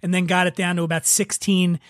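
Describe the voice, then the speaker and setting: A man's voice: high-pitched, little pitch movement, fairly quick, a bit bright, dry recording, studio quality. A man hosting a podcast, chatting casually and explaining things with enthusiasm and the odd swear word. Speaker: podcast host. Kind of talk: casual chat about wine.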